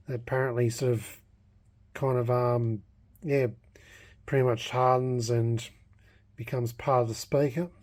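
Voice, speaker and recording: A man with a mellow, relaxed voice at a middling pitch talks through a hobby project in an unscripted vlog. He speaks haltingly and casually, feeling his way through each thought aloud. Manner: hesitant, halting, thinking aloud. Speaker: hobbyist vlogger restoring a vintage radio.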